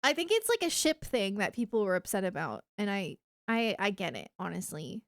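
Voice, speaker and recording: A woman's voice: mid-range voice, big pitch swings, fairly quick, no room sound, studio quality. A woman livestreamer chatting casually with her viewers in an enthusiastic, emphatic gamer way. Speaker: video game livestreamer.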